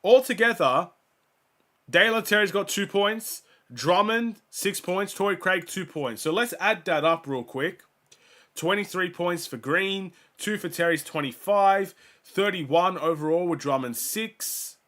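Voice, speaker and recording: A man's voice: sits high, animated, a little slow. A young man hosting a fan watchalong, giving casual play-by-play and stats while sick, his voice starting to go. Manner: rattles off stats, gets louder on big plays. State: sick, voice going. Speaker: fan host of a watchalong stream.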